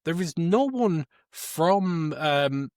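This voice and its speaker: A man's voice: a little high, lively intonation, extremely slow, a little mellow, no room sound, studio quality. A man co-hosting a podcast, talking about film casually in a critical, exasperated and informal way. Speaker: podcast co-host.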